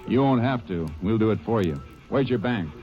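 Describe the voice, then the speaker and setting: An actor playing a steady Texas Ranger who narrates and questions suspects in a tersely measured way in a radio drama. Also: male voice, lowish voice, muffled recording, soft background music, natural voice. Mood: stern. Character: stern, steady male Texas Ranger lawman.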